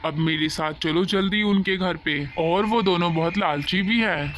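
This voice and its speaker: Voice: medium pitch, measured pace, a bit bright, a little hiss or hum, close to an everyday voice. A performer voicing an adult cartoon bird in a children's story, with plain, declarative delivery. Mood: indignant. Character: cartoon birds: pigeon farmer, crow swindler, uncle. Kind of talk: Hindi animated children's story dialogue.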